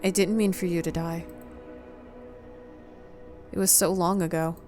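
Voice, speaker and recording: A woman's voice: on the low side, steady tone, a little slow, darkish tone, soft background music. A woman playing a visual novel and reading its lines aloud dramatically, as in a playthrough. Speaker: game player reading aloud.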